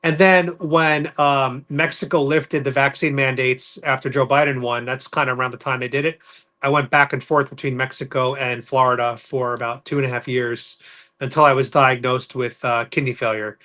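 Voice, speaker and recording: A man from New York City speaks as a podcast guest, his voice bright and a little tense. He tells his stories in a plain, orderly, matter-of-fact way. His pitch sits in an ordinary middle range. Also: steady tone, normal speed. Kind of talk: casual podcast interview.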